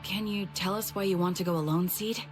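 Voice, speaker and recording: A woman's voice: medium pitch, fairly even intonation, a little fast, faint music behind, natural voice. A woman playing a composed, protective female soldier in a video game. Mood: calm, gentle.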